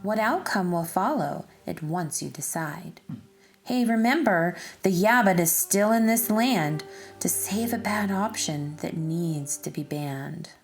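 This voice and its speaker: A woman narrating for children in an expressive, deliberate and instructive read-aloud style. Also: lowish voice, faint music behind. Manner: deliberate, instructive, addressing young listeners.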